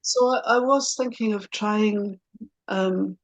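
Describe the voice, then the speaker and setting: A woman's voice: medium pitch, fairly even intonation, slow pace, rough recording. Middle-aged woman, hesitant and reflective. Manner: hesitant, thoughtful, self-reflective. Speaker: guest in a personal conversation. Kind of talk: reflective casual conversation.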